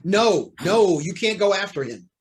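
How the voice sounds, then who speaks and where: An actor playing a proud, anxious, cornered judge in an old-time radio drama, speaking in a formal, indignant, theatrical way. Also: male voice, fairly high pitch, animated, unhurried. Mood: anxious pleading.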